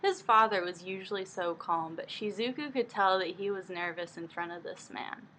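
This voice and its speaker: A woman with a bright, forward voice reads a book aloud as if it were high drama. Her manner is mocking and exasperated, and she is free with swearing. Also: normal speed, a little hiss or hum, poor audio. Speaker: host reading a book aloud. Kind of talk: mock-dramatic reading with blunt asides.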